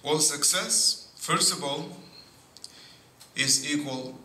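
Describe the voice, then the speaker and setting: A middle-aged man, a priest giving a sermon at a pulpit, speaking in slow, deliberate phrases with pauses and frequent asides of "see". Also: on the high side, pushed and bright. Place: church sanctuary at a pulpit. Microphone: boom mic at pulpit. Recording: echoey church with faint noise.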